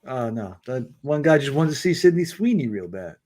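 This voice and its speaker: A man's voice: mid-range voice, big pitch swings, moderate pace, a little mellow, no echo. A man on a casual pop culture panel who chimes in with dry one-liner jokes.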